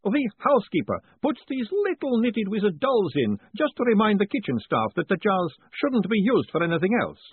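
A man voicing a cheerful, fussy male wizard professor who sounds high, bright and chatty. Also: wildly swooping pitch, moderate pace, hardly any pauses, breathy edge, no room sound, exaggerated voice. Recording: tinny phone-like audio.

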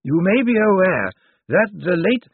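Mister Slant, an ancient undead lawyer, put on by a male narrator, speaks in slow, pedantic, deliberate phrases. His husky tone sounds thin and boxy, as if over a phone, with no room around it. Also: high-pitched, sing-song, no echo, narrow, tinny audio, voice pushed up, exaggerated voice. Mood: dry, deliberate. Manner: slow, deliberate, drawn-out pauses.

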